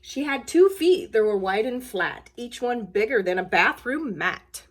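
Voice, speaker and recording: A woman's voice: a little high, animated, unhurried, breathy edge. Woman talking simply to children. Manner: plain, simple, child-directed phrasing. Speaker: school nurse reading a story to children. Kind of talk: casual talk to children and read-aloud storytelling.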